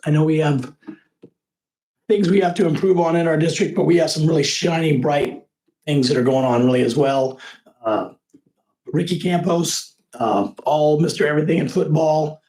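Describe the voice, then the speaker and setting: A hoarse man with a cold, a school board trustee giving a rambling, folksy report at a board meeting. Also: a little high, darkish tone, low-quality recording. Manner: rambling, folksy, many uh fillers.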